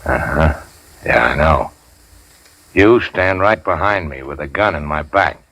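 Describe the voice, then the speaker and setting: An actor playing a tough, cynical racketeer boss in a 1940s radio crime drama, with clipped, slangy, sardonic delivery. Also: male voice, a bass voice, lively intonation, a little slow, a bit bright, no echo, full character voice, poor audio. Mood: dry, cool. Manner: hard-boiled, slangy, clipped. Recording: dry 1940s radio recording.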